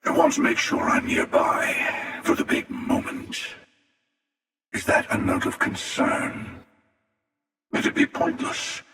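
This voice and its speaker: An actor playing a cynical, menacing, world-weary interdimensional hunter in a video game, with a gravelly, sardonic delivery. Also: male voice, close to an everyday voice. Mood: cool, threatening. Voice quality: gravelly, creaky. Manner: slow, sardonic, taunting. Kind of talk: video game character voice lines. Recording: cavernous reverb, distant-sounding voice.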